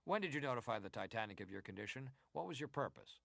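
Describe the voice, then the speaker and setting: Formal, probing senator. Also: male voice, mid-range voice, lively intonation, vocal fry, a bit bright, no room sound, clean studio sound. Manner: formal, deliberate, inquisitorial. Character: formal, probing American senator questioning a witness. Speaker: actor reading hearing testimony. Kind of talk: dramatized reading of historical hearing.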